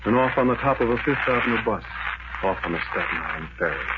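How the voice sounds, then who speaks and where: Through heavy noise on a muffled old radio recording comes a man acting a very low-voiced, lovelorn man. He broods and yearns in halting, poetic phrases, in the intense manner of 1940s radio drama. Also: expressive, lightly acted. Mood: brooding, yearning.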